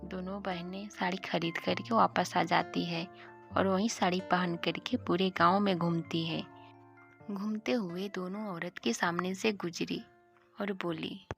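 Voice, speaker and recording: A woman's voice: on the low side, steady tone, a little slow, gravelly, faint music behind. A woman narrator reading a scripted moral bedtime story for an animation.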